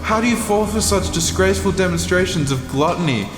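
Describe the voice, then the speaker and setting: Guy doing a mock serious rant, slow and aggrieved, lightish pitch, echoey w music behind. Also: animated. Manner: aggrieved, melodramatic, deliberate pauses. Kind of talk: mock-serious comic monologue. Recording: echoey, long reverb, music underneath.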